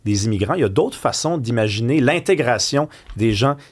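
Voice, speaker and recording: A male radio host with a Quebec French accent who sets up the topic in an animated, opinionated way, with some fillers. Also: medium pitch, fairly quick. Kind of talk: talk radio hosting.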